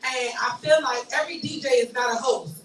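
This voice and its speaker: Blunt raspy-voiced woman host. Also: a little high, brisk, reverberant, distant mic, a little hiss or hum, poor audio. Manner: blunt, forceful, opinionated. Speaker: show host. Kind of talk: heated casual discussion.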